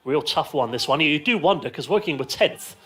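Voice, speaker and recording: A man's voice: fairly high pitch, animated, a little fast, sharp tone. A man giving live radio football commentary from a stand, describing play in short, clipped phrases. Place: outdoors in a football ground stand.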